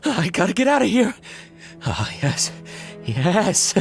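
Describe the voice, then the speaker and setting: A man voicing a panicked, delirious herb gatherer in a video game, stammering and halting, with nervous giggles. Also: high voice, wildly swooping pitch, creaky, faint music behind, exaggerated voice. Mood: paranoid, rambling.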